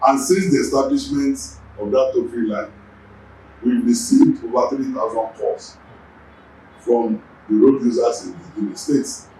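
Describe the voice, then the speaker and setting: A Nigerian man whose first language is Yoruba speaks in a deliberate official tone at a middling pitch, repeating words as he finds his footing. His voice sits well back from the mic and rings around a very echoey room. A faint background hiss runs under it. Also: dark tone, recorded from a distance. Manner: deliberate, repeats words while gathering thoughts. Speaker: traffic agency general manager. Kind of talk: measured official interview answers.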